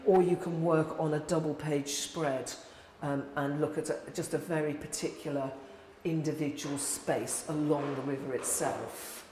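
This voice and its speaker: A woman speaking as an artist, informally explaining work in a hesitant way, pausing mid-thought. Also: sits low, warm tone. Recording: echoey reverberant hall with background noise.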